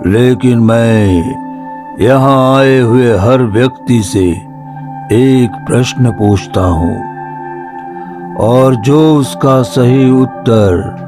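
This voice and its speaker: A man voicing a male jinn spirit who speaks slowly, loudly and commandingly over background music. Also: medium pitch, pitched below the performer's own voice. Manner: slow, loud, commanding.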